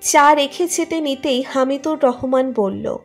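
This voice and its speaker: A woman's voice: high voice, measured pace. A woman reading a scripted romantic story as its narrator. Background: music under the narration.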